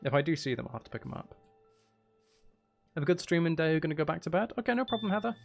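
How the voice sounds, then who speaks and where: A man's voice: a little high, very animated, quick pace, gravelly, soft background music. A man live streaming in a casual, chatty way, with dry, teasing humour while he plays a game.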